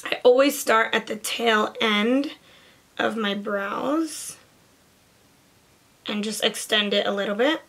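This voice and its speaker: A young woman with a hoarse, raspy voice, chatting casually through a makeup tutorial with many "like" fillers. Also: fairly high pitch, takes it slowly, pauses often, a bit bright. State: hoarse from lost voice. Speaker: beauty vlogger.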